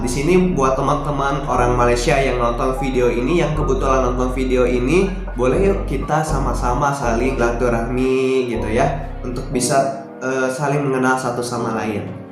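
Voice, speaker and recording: A young man from Indonesia chats to his phone camera, stopping often to hunt for words. The room rings with heavy echo. Clear background music plays underneath. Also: mid-range voice, fairly even intonation. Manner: hesitant, many filler "eh" pauses. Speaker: YouTube reaction vlogger. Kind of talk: casual vlog talk to camera. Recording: very echoey room, music behind.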